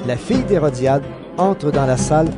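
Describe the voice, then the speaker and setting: A man giving scripted narration for an audio Bible drama in a measured storytelling manner. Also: fairly high pitch, expressive. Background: background music.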